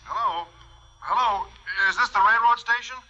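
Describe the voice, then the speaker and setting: A man's voice: high voice, normal speed, pushed and bright, faint music behind. An actor playing a mild, polite male teacher in an old-time radio comedy. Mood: polite, hopeful. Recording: dull old radio recording.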